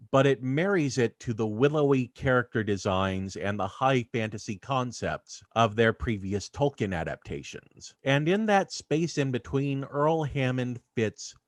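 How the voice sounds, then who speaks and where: A man's voice: mid-range voice, no echo, clean studio sound. A man hosting a video, reading scripted narration. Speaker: video host.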